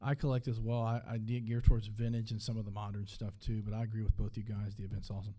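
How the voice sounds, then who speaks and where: Man, very mellow dark timbre, quick pace, lowish pitch, casual interviewer, agreeable interjections. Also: flat delivery. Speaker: interviewer and co-host. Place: live on stage at a collectors convention.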